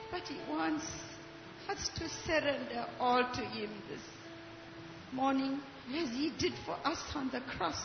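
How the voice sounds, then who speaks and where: A woman prays aloud slowly and fervently, her voice high and unhurried. She is heard with a long echo, as if across a church hall, with instrumental music swelling behind her and a slightly dull sound. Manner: slow, fervent, devout. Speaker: congregation member leading prayer. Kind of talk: spoken prayer. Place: church sanctuary.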